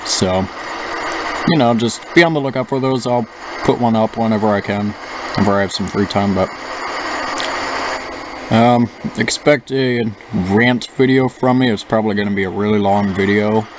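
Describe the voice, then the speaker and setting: A man's voice: fairly low pitch, moderate pace. A young man chatting casually over gameplay, rambling with lots of fillers and restarts. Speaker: gaming channel host. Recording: noisy with engine sounds from the game. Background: simulated bus engine noise.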